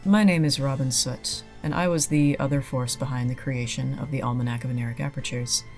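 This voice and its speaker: Casting: explanatory speaker, medium pitch, with music underneath. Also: fairly even intonation, brisk. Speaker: book maker. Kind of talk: explanatory talk.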